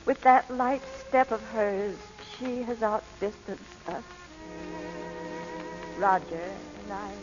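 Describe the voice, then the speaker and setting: A woman's voice: medium pitch, slow, pauses often, close to an everyday voice. A woman playing a grieving mother solemnly reading out a letter in a 1940s radio drama. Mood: mournful. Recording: muffled vintage radio recording with music.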